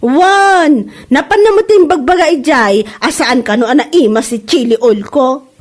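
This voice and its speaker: A woman performs an aggrieved market seller with a very high voice and an Ilocano accent. She is quick-tempered and fretful, in the manner of a comedy radio drama. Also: close to an everyday voice. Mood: angry, aggrieved.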